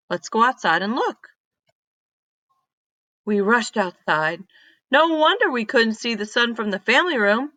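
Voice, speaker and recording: A woman reading a children's story aloud, expressive and instructive, speaking directly to young listeners. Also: medium pitch, no room sound.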